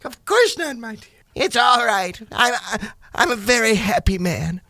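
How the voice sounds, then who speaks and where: A man acting a tender Victorian father in a radio play, emotional and tearful. The voice is high and halting, moving slowly with wide swings in pitch. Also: big pitch swings, creaky, pushed and bright, no echo, clean studio sound, full character voice. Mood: tearful tenderness.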